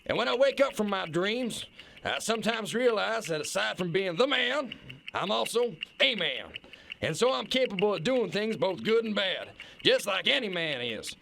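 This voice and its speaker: A man's voice: high-pitched, sing-song, normal speed, gravelly, sharp tone, a little hiss or hum, exaggerated voice. A man playing a failing, self-important kingpin in an absurdist audio drama, guilt-ridden and rambling, stammering and repeating his words. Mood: earnest, remorseful.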